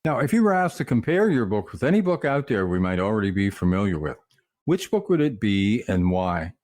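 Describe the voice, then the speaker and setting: A man hosting an interview, reading prepared questions with a lively lilt. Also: on the low side, brisk. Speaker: interview host.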